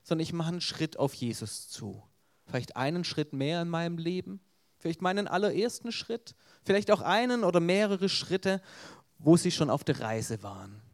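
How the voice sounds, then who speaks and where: A man's voice: fairly high pitch, expressive, moderate pace. A man with a Swabian accent, an evangelist giving an earnest evangelistic sermon with storytelling.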